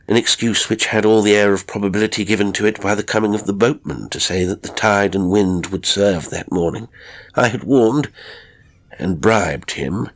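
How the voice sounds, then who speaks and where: Male audiobook narrator, low husky register, measured and formal literary delivery. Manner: measured, formal, expressive storytelling. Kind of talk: literary horror story narration.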